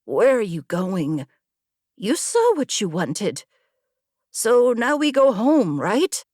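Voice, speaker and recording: A woman's voice: fairly high pitch, very animated, slow pace, a little mellow, no room sound, clean studio sound, heavily acted. A woman narrator voicing a loyal, pestering young apprentice horsemaster in an audiobook, exasperated and wry. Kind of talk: audiobook character dialogue.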